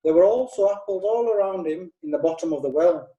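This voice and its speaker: A pompous prosecutor, played by a man, laying out his case in a radio play with grand courtroom oratory. His voice sits high but mellow, and it sounds roomy and a little off-mic. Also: animated, brisk, recorded from a distance. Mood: accusing. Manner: formal, theatrical courtroom oratory. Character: pompous male prosecuting lawyer. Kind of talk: radio drama acting.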